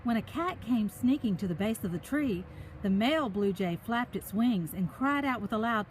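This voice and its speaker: A woman reads a picture book for young children in a slightly high voice with lots of melodic swing. She breaks off for simple, chatty asides to her listeners. She is recorded outdoors, with faint ambient noise around her. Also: lively intonation, moderate pace. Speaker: children's story reader. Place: outdoors on a park trail.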